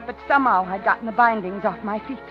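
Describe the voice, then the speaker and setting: A woman's voice: mid-range voice, fast, natural voice. An actress playing a frightened, outwardly polite captive woman who narrates her ordeal breathlessly in a 1950s radio thriller. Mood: panicked. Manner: dramatic, breathless first-person suspense narration. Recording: dull 1950s radio drama, loud music.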